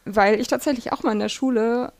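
Eine Frau um die dreißig, Bibliothekarin, die lebhaft und locker von ihrem Werdegang im Podcast erzählt. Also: female voice, fairly high pitch, fairly even intonation, fairly quick, dry recording. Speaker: librarian. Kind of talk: casual podcast chat.

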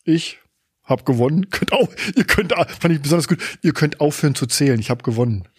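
A man's voice: on the high side, animated, brisk, breathy edge, no echo, studio quality. A man co-hosting a casual podcast, joking and laughing often as he talks. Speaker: podcast co-host. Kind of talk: casual chat. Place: Berlin.

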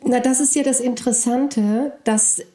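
A woman's voice: fairly high pitch, steady tone, unhurried, dark tone, echoey room. A woman, a native Polish speaker who grew up in Germany, giving a thoughtful, searching interview answer with frequent hesitations. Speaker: interview guest. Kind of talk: reflective conversation.

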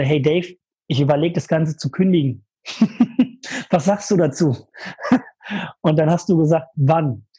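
A young man telling a lively personal story in a casual interview, full of fillers and emphasis. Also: on the high side, breathy edge. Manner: energetic, animated storytelling with many fillers. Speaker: guest, former mastermind participant.

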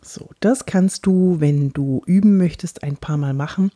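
A woman's voice: on the low side, mellow. Podcasting woman with a light Bavarian lilt. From Franconia, Germany. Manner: guides exercises slowly and deliberately; chatty and personal. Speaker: actress and body language coach. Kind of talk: podcast voice lesson.

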